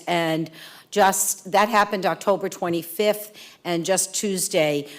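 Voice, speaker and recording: Woman library director speaking up at a meeting. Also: lowish voice. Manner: speaks up loudly from her seat. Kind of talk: impromptu remarks at a public meeting. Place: county commission meeting room.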